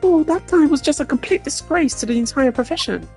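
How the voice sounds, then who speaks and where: Female actor for unlucky, polite video game guard, fast-talking and self-deprecating, raised pitch, over strong music. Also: lively intonation, creaky. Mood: grateful, chatty. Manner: chatty, deferential. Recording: loud music under the voice.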